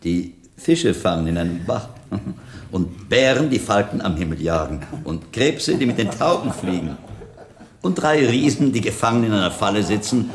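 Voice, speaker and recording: An actor playing a shrewd, curious, learned medieval monk with a gravelly voice, polite and probing in a radio drama. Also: male voice, fairly low pitch, animated, normal speed, a little hiss or hum. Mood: amused. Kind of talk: German radio drama acting.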